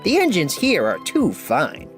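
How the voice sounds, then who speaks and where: A man's voice: sits high, wildly swooping pitch, a little slow, a bit bright, faint music behind, pitched above the performer's own voice, heavily acted. A man voicing a vain, mocking male steam engine in a children's storybook, with a haughty, sneering manner.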